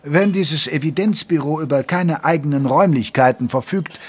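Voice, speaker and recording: A man playing a gentlemanly English doctor who narrates his memoir in a measured, literary way in an audio drama. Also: on the high side, breathy edge. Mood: dry.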